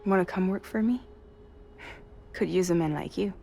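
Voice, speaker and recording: A woman playing a resilient, warm-hearted delivery company boss in a video game drama, speaking earnestly and intimately. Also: lowish voice, moderate pace, vocal fry. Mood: wry, fond. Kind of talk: cinematic video game acting. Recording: music under the voice.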